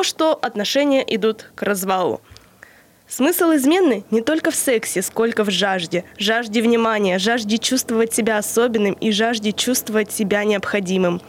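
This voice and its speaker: Podcast co-host, woman, early twenties, higher-pitched, measured explainer with small hesitations. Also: slight background noise. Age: early 20s. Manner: measured, lecture-like delivery with occasional hesitations. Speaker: podcast co-host presenting the theory segment. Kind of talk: explaining from prepared notes, then casual chat.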